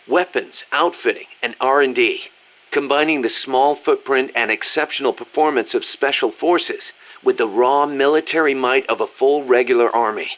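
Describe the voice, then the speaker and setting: A man's voice: medium pitch, a bit bright, close to an everyday voice, rough recording. A man playing a businesslike, articulate military second-in-command explaining things in a video game. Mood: confident, persuasive. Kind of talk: scripted video game briefing dialogue.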